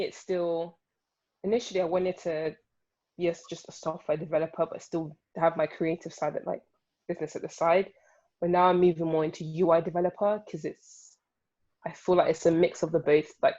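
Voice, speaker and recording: Young woman, low voice, laughing often. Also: moderate pace, highs cut off. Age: 20s. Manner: laughs often, relaxed and chatty. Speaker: guest UI developer. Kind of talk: casual podcast chat.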